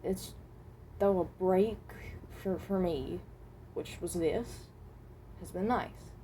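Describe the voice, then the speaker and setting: A hesitant, mild-mannered traveler from another dimension, played by a woman, speaks in a dark, mellow and fairly low voice. The delivery is halting, with trailed-off phrases and rephrasing. It is an amateur recording with slight background noise. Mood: hesitant, wistful. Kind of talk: improvised in-character voice lines.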